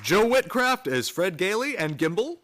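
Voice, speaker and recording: Guy doing scripted radio announcing, highish and animated, live theater recording. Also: moderate pace, noisy background. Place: theater stage, live performance.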